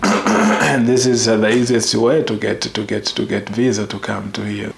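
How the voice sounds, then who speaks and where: Gravelly low-voiced man from Zanzibar. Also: fairly even intonation. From Zanzibar, Tanzania. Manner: halting, repeats words while searching for English. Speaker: interviewee. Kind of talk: documentary interview. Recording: echoey room with faint background noise.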